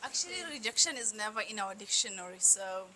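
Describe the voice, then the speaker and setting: A woman's voice: mid-range voice, fairly quick, sharp tone, low-quality recording. A young woman chatting casually on a group podcast in a small room, playful and assertive. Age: young adult. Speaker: podcast panelist.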